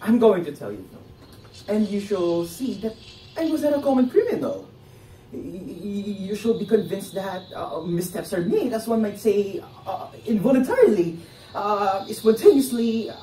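Man acting young nervous ex-convict, theatrical stage drama, hesitant, very animated pitch, distant mic. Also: a little slow. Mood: defensive. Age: young adult. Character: nervous, defensive ex-convict pleading his innocence. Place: indoor theatre set, far camera. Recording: echoey stage, distant mic, faint noise.

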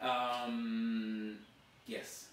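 Bright, animated man in an echoey room. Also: mid-range voice, drawn-out words, distant mic. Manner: animated, gesturing with both hands. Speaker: blogger and author. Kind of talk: casual vlog talk to camera. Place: indoor dining room.

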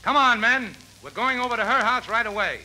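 A man's voice: very high voice, fairly quick, full character voice. A man playing a bossy, impatient police detective captain in a 1940s radio comedy. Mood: businesslike. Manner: forceful, commanding. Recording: noisy old 1940s radio recording.

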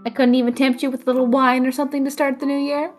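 A woman's voice: high-pitched, level tone, quick pace, soft background music, lightly acted. A woman playing a dry, affectionately sarcastic young homebody, teasing her best friend in an audio drama. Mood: dry, fond. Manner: wry, teasing.

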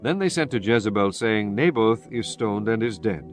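A man's voice: on the low side, lively intonation, moderate pace, soft background music. A man narrating scripture in a solemn, formal reading style for a dramatized Bible.

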